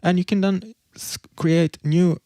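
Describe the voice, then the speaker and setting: A man's voice: high-pitched, fairly even intonation, a little slow, dark tone, no echo, clean studio sound. A man giving a casual livestream presentation, explaining things loosely with fillers and restarts. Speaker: presenter demonstrating music tools.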